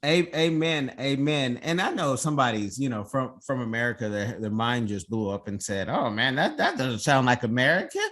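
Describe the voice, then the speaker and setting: A man's voice: mid-range voice, lively intonation, moderate pace, hardly any pauses, a bit bright. A man hosts an interview podcast with a mid-range voice. He is chatty and enthusiastic and keeps affirming what his guest says. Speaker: podcast host.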